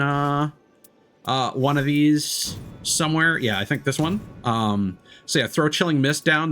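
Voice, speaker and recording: A man's voice: medium pitch, pushed and bright. A man giving casual gameplay commentary as a streamer, thinking aloud in a hesitant way as he explains. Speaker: video game streamer.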